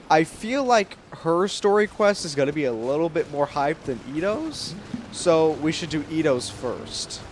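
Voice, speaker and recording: A man chatting casually to livestream viewers in a rambling, slangy way. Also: a little high. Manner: rambling, informal slangy talk. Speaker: game livestreamer. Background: background music.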